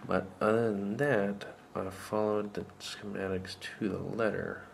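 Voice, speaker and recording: Slow-talking man with a low voice. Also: little pitch movement, vocal fry, a little hiss or hum. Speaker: hobbyist electronics demonstrator. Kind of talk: informal project demonstration. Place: indoors at a tabletop workbench. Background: music playing from small speakers.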